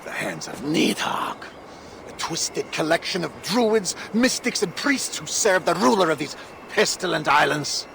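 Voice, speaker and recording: An actor playing a weary, resigned enslaved bridge mender in a dark fantasy video game, speaking grimly. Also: male voice, a little high, big pitch swings, measured pace, gravelly, slight background noise, full character voice. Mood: dark, ominous. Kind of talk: video game character acting.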